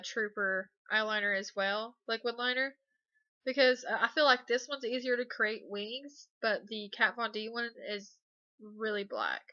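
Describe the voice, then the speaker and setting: A woman's voice: on the high side, no echo, muffled recording. A young woman with a stuffy cold voice, chatting casually and a bit hesitantly through a makeup tutorial voice-over. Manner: relaxed, hesitant, many ums and uhs. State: congested from a cold. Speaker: beauty vlogger.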